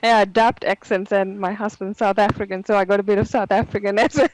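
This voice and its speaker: A woman's voice: medium pitch, steady tone, fast, dry recording, poor audio. A woman from Sri Lanka with a mixed Sri Lankan and Geordie accent, a guest in a casual interview who laughs easily and repeats words as she starts sentences. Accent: mixed Sri Lankan and Geordie English.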